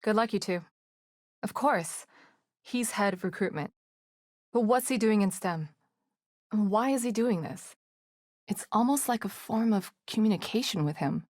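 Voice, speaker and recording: A woman's voice: mid-range voice, lively intonation, unhurried, long pauses, a little mellow, no echo, clean studio sound. An actress playing an earnest female team psychologist in a video game, speaking in an articulate, analytical way. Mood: composed, serious.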